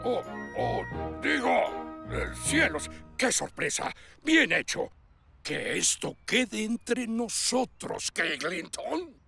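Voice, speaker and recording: A man's voice: sits high, big pitch swings, very slow, vocal fry, exaggerated voice. A man voicing a pompous, blustering older fire station officer who gets flustered, in a cartoon. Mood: sheepish. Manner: blustering, self-important. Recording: loud music behind the voice.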